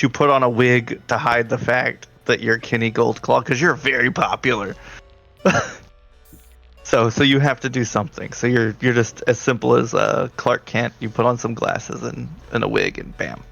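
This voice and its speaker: A man's voice: medium pitch, fairly even intonation, normal speed, vocal fry, a bit bright, highs cut off, faint music behind. A man improvising narration as a tabletop game master, talking conversationally and directly to his players.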